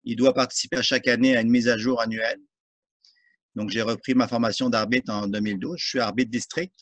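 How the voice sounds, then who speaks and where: Referee, measured and orderly. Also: male voice, medium pitch, fairly even intonation, dry recording, highs cut off. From France. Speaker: guest, soccer referee and volunteer. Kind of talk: interview answers.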